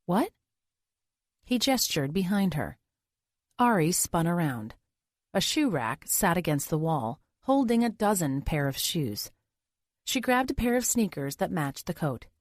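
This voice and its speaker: A woman's voice: lowish voice, very animated, a little slow, dry recording, studio quality. A woman reading scripted thriller narration as an audiobook narrator.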